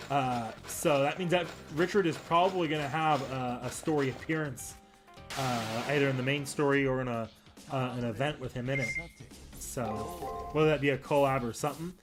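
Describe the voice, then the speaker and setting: A man giving casual gameplay commentary as a streamer, rambling and often halting to correct himself mid-sentence. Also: mid-range voice. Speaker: game streamer and host. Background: music.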